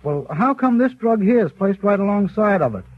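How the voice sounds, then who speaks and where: An actor playing a curious, impressed visitor, folksy and hesitant, in scripted 1930s educational radio dialogue. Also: male voice, sits high, expressive, moderate pace, dry recording. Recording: tinny 1930s radio recording.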